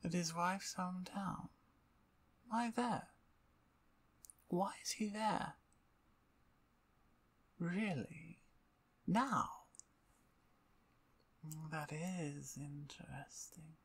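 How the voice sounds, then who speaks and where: A woman playing a dominant, playful vampire conqueror in an ASMR roleplay, with a breathy, near-whispered voice and an imperious, taunting manner. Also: lowish voice, drawn-out words, long pauses, heavily acted. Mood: curious, scornful.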